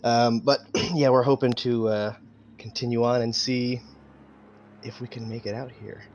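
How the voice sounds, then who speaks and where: A man playing a tabletop game, chatting casually in a halting, laid-back manner. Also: medium pitch, little pitch movement, darkish tone, slight background noise. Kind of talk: casual chat.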